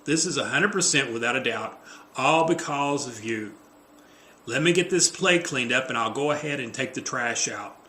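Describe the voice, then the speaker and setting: A man's voice: mid-range voice, expressive, moderate pace, sharp tone, echoey room. A man acting a hapless, apologetic husband in a play, eager to please, earnest and dramatic.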